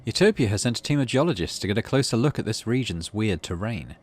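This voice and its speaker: A man's voice: fairly low pitch, animated, quick pace. A man hosting a gaming video, casually narrating and commenting as he thinks aloud. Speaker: gaming channel host.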